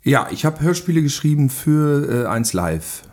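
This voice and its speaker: Guy on a podcast just chatting away, rough husky voice, bit rambly, doesn't take himself too seriously. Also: on the high side, very animated, moderate pace, darkish tone. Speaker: podcast guest, film director and radio play writer. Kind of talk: casual chat.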